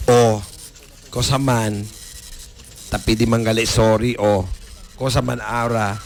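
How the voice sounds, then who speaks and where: A man's voice: mid-range voice, steady tone, unhurried. A man with a Zamboanga Filipino accent doing playful improvised comic banter as a radio DJ.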